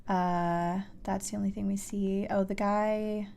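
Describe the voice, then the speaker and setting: A woman playing a puzzle game and giving casual commentary, thinking aloud haltingly and trailing off as she works things out. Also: medium pitch, level tone, creaky, darkish tone. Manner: halting, trailing off while working through puzzles.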